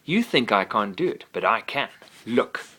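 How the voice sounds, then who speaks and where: A man's voice: on the low side, very animated, moderate pace, pushed and bright, husky, full character voice. A man reading a bedtime story, voicing a young boastful male pet dragon that is eager and sulky by turns. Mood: childlike boasting.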